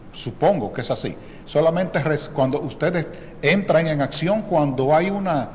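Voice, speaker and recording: A man's voice: on the high side, in a large hall, slight background noise, poor audio. A man hosting a TV talk show, chatting casually with his guests and nodding along with short replies. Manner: conversational, frequent short affirmations. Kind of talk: casual TV interview.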